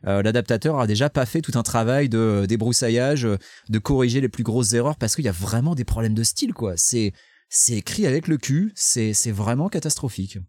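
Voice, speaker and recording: A man with a medium-pitched voice talks in loose podcast banter, sarcastic and mocking, and swears often. His voice sits close and dry, with no room sound around it. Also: expressive, a little fast, a little mellow, dry recording. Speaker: podcast host. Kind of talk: casual book-club chat.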